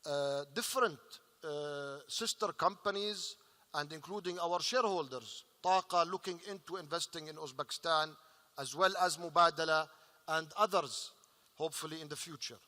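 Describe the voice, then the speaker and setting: A man speaking as an investor on a panel, earnest and halting, with many fillers. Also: on the high side, pushed and bright. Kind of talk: panel discussion remarks. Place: large conference hall. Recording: reverberant conference hall.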